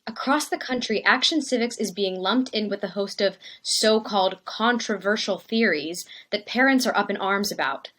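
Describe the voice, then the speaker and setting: A woman's voice: mid-range voice, moderate pace, few pauses, fairly bright tone, lightly acted. Woman playing a concerned, businesslike teacher. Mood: urgent, concerned. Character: concerned, urgent female teacher opening a staff meeting. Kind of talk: scripted audio drama.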